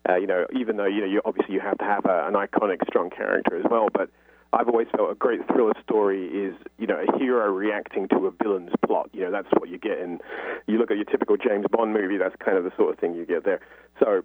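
Husky man on a phone-like line. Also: lowish voice, steady tone, quick pace, no room sound. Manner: talkative, explanatory, frequent "you know". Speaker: interview guest, author. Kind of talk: conversational explaining in an interview.

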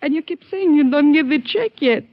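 A grieving Mexican widow in an old radio play. Her voice is high and plaintive, with a Mexican accent and halting delivery. The recording is dull, dry and lo-fi. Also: no room sound. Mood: accusing, hurt. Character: grieving Mexican sharecropper's widow, tearful young mother. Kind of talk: 1950s radio drama acting.

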